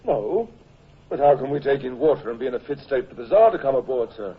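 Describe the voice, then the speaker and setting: Brisk, husky navy captain. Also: male voice, mid-range voice, lively intonation. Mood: puzzled. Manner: deferential, brisk. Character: dutiful, practical British navy captain, second-in-command. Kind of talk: old-time radio drama acting. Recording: muffled old-time radio recording.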